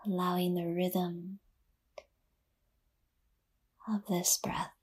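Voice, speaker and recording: Female meditation guide for yoga nidra. Soft, dark, breathy tone, half-whispered, unhurried phrasing with generous pauses. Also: fairly low pitch, level tone.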